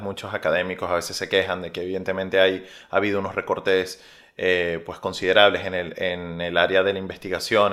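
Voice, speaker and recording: Low-voiced Venezuelan man, hesitant. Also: fairly bright tone. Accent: Venezuelan Spanish. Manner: measured, many filler "eh" pauses. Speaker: researcher, interview guest. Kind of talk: interview answers.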